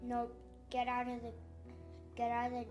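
A woman voicing a small, shy dog puppet for children, talking tersely and haltingly. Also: fairly high pitch, monotone, darkish tone, soft background music, natural voice.

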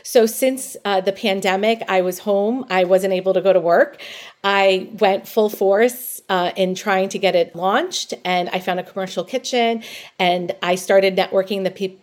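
A woman's voice: medium pitch, steady tone, normal speed. Woman, middle-aged, podcast caller, poised, articulate. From New Jersey. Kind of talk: call-in podcast advice segment.

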